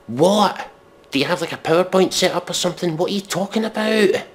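A man giving a sarcastic dramatic reading with commentary, deadpan, mock-earnest and sweary. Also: sits high, brisk.

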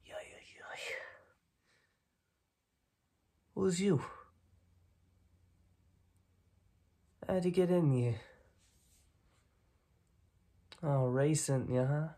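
A young man voicing a gruff, dismissive, streetwise mob boss in a soft-spoken ASMR roleplay, breathy and clipped. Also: mid-range voice, fairly even intonation, natural voice. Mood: wary, curious. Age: young adult. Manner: slow, clipped tough-guy talk with repeated phrases. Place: small dim room at home, indoors.

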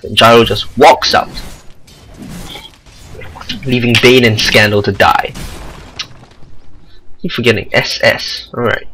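A man with a Singaporean accent giving casual amateur commentary over a video game match, excitable and rambling. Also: medium pitch, a little hiss or hum, rough recording. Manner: excitable, rambling asides, self-deprecating.

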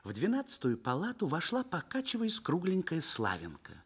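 A man narrating a Soviet radio play in an expressive, literary storytelling manner. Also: fairly high pitch, normal speed, slightly rough.

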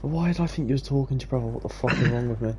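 A man's voice: medium pitch, very fast, darkish tone, a little hiss or hum. A young man joking around with friends while gaming. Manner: joking, laughs often. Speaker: gamer. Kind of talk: casual chat over voice chat.

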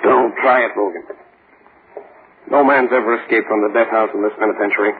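Actor as a stern prison warden, muffled radio. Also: male voice, on the low side, fairly even intonation, lightly acted. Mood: firm, admonishing. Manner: measured, schoolmasterly, admonishing. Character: stern, paternal prison warden, calm authority. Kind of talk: old-time radio acting. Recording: muffled, hissy old radio recording.

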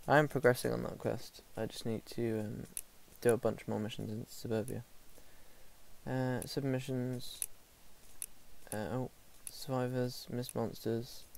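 Brief: English male game streamer, mid-pitched, gravelly, mutters through menus, slight background hiss. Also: little pitch movement, unhurried, darkish tone. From England. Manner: mumbling to himself, hesitant, fillers. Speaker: gaming streamer. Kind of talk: casual gameplay commentary.

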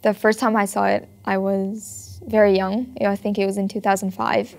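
A teenage girl from Switzerland talks earnestly about dancing, speaking in a narrow, even pitch. She pauses often with small fillers as she thinks. She sits for an interview in a bright ballet studio. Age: teens. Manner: thoughtful, earnest, with frequent ums. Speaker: ballet student. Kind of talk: documentary interview.

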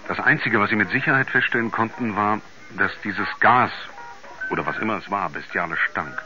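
An actor playing a sober narrator who reports mysterious events in a scripted 1970s German radio play. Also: male voice, on the low side, a little fast, sharp tone, faint music behind. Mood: factual. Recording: muffled 1970s radio recording.

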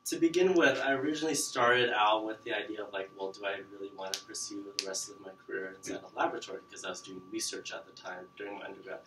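A man's voice: fairly low pitch, quick pace, hardly any pauses, background noise. A young man giving a casual talk to students in a classroom, chatty with many fillers. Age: young adult. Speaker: guest speaker. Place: classroom with projector screen. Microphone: far camera. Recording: roomy classroom from far off.

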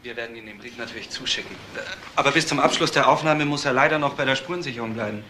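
Man acting young cop sidekick in radio crime play, talks fast, bright voice, polite. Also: medium pitch, slight background noise, close to an everyday voice. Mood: polite, brisk. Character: brisk, polite junior male detective. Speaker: actor. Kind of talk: crime drama dialogue.

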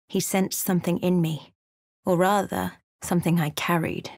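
A brooding woman adventurer from a fantasy game. She is watchful and speaks in measured, dramatic phrases, often hushed. The recording is close and dry, with no room around her. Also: fairly low pitch, level tone, dry recording. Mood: solemn, watchful. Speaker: video game voice actor. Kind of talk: dramatic narrated game voice lines.

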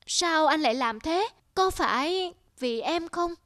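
A woman's voice: sits high, expressive, a little slow, a bit bright, dry recording, studio quality. A woman voicing an insecure, vulnerable young bride in a cartoon. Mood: moved. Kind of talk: cartoon dialogue.

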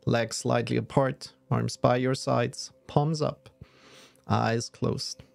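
A man leading a guided yoga nidra meditation in a soothing, measured way with long pauses. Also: medium pitch, steady tone, gravelly, no room sound.

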